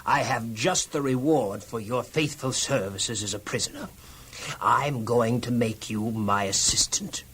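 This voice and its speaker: An actor playing a kindly, talkative prison keeper in a children's audio drama, with hearty, rambling delivery. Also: male voice, mid-range voice, unhurried, fairly bright tone, breathy edge. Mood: excited. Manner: hearty, rambling, hesitant asides.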